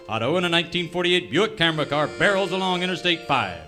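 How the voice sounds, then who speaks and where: An actor playing a melodramatic announcer narrating a parody of an old-time cowboy radio serial. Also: male voice, a little high, expressive, fast talker, pushed and bright. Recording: music bed behind the voice.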